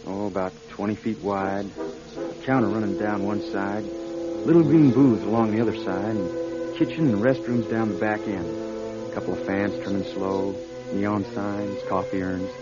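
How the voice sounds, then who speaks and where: An actor playing a weary, lonesome drifting musician in a radio drama, with folksy, colloquial speech. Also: male voice, on the low side, fairly even intonation, unhurried, close to an everyday voice. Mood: weary, reflective. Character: weary, lonesome drifting musician telling his own story. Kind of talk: radio drama acting and first-person narration. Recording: dull radio recording with loud music.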